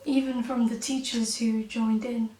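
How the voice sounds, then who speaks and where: A woman in her twenties with a croaky, blocked-nose voice, performing spoken word poetry with a wry, self-mocking, punchy delivery. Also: a little high, little pitch movement, unhurried, dark tone, echoey room, distant mic, low-quality recording. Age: 20s.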